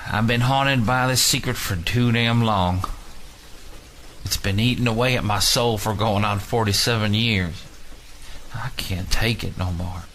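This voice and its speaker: A man's voice: fairly low pitch, unhurried, fairly bright tone, slight background noise. A man voicing a wounded, haunted older Southern prison guard, folksy and stubborn, in an audio drama. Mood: anguished. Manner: folksy, rambling storytelling.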